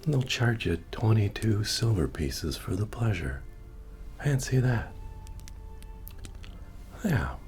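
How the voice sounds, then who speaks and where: A man playing a friendly, humble medieval innkeeper in a fantasy ASMR roleplay, speaking softly and often near a whisper as he tends to a guest. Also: sing-song. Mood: friendly, chatty. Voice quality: deep, soft, often dropping to whisper. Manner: unhurried, hospitable, murmuring to a guest. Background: soft bard music.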